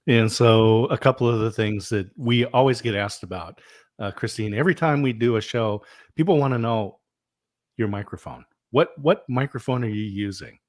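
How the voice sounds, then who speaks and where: A man hosting a casual live stream, chatting in a relaxed way with frequent "uh" fillers. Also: medium pitch, normal speed, no room sound, studio quality. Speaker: livestream host.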